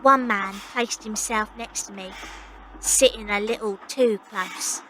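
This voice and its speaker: A woman's voice: medium pitch, steady tone, measured pace, fairly bright tone, a little hiss or hum, close to an everyday voice, low-quality recording. A young woman playing a plucky Victorian circus runaway who narrates her own story. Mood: reflective, wry. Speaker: lead actress and narrator. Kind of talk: first-person audio drama narration.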